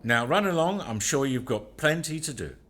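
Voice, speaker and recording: A man's voice: mid-range voice, expressive, moderate pace, fairly bright tone. A man playing a commanding master of a manor house in an audio drama, dismissive yet reassuring. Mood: dismissive reassurance. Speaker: amateur actor. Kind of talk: community audio drama acting.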